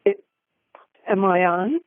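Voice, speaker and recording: A woman caller asking a question over the telephone in a deliberate, polite, halting way. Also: medium pitch, animated, slight background noise. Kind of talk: formal, careful question on a call. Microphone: telephone call. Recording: echoless, narrow telephone line.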